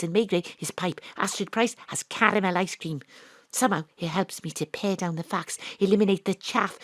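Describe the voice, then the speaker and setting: A woman narrating as a private detective in a radio play, with a brisk, wry, deadpan manner. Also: medium pitch, no echo. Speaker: narrator and detective Astrid Price. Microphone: home studio mic.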